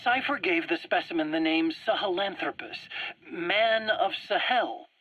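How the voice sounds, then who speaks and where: Anxious, proud game scientist: animated, husky delivery, defensive explanations, muffled dry recording. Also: male voice, sits high, a little slow, dry recording, heavily acted. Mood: proud lecturing. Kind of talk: video game voice acting, interrogation dialogue. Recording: dull, dry radio-like cassette recording.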